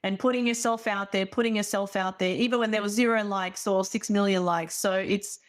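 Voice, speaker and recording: Casting: woman host and life coach, mid-pitched, supportive and reflective. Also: fairly even intonation, a little fast. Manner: encouraging, reflective. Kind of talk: casual interview chat.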